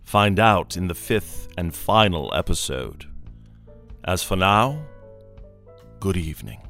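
A portentous host narrating a suspense serial. His low voice moves slowly and deliberately, droll and solemn, with faint music behind it. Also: animated, a bit bright, exaggerated voice. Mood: droll, ominous.

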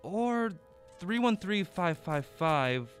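A man's voice: high-pitched, big pitch swings, slow, faint music behind, exaggerated voice. An actor playing an agreeable, dry-witted man, a sidekick amateur sleuth, in a comic audio drama. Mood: puzzled, helpful. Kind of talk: comedic audio drama acting.

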